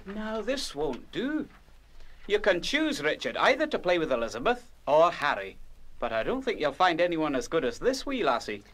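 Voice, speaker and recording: An actor playing a kindly, encouraging Scottish music teacher in a children's radio play, gentle and coaxing. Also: male voice, on the high side, wildly swooping pitch, moderate pace. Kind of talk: full-cast children's radio play acting.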